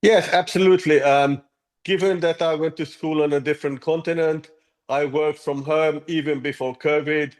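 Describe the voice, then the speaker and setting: A man's voice: a little high, fairly even intonation, normal speed. A man from Hungary, an app co-founder, giving earnest interview answers with frequent ums and restarts.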